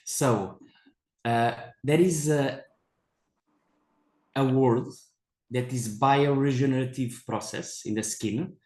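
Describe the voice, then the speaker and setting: Male Portuguese-accented doctor, mid-pitched, presenting to peers; unhurried, halting non-native delivery, roomy sound. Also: darkish tone. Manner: halting, repetitive non-native English phrasing. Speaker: dermatologist giving a presentation. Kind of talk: medical conference lecture. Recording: echoey presentation room.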